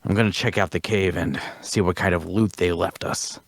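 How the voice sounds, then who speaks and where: A man's voice: low-pitched, steady tone, normal speed, vocal fry, dry recording. A man playing the stern, cautious leader of a band of fantasy adventurers in an audio drama. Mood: commanding. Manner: commanding, questioning. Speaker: actor in an audio drama table read.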